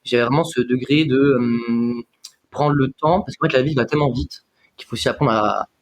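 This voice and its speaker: A man's voice: mid-range voice, normal speed. Man telling photo anecdotes. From Paris region, France. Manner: reflective, tells anecdotes. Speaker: guest, photographer. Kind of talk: casual interview chat.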